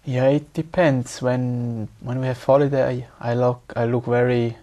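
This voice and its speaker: A man's voice: medium pitch, little pitch movement, a little slow, mellow. Hesitant young man. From Switzerland. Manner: hesitant, repeats words while searching for them. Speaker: exam candidate. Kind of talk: speaking exam answers.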